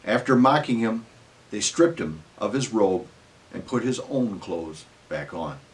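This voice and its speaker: A man's voice: fairly low pitch, animated, a little slow, slight background noise, rough recording. A man giving a scripted dramatic scripture reading as narrator, in a solemn, emphatic storytelling manner.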